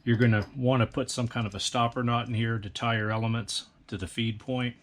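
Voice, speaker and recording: A man calmly explaining a hands-on build step by step, in a practical, methodical way. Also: medium pitch, fairly quick. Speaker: instructor. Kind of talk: step-by-step how-to instruction.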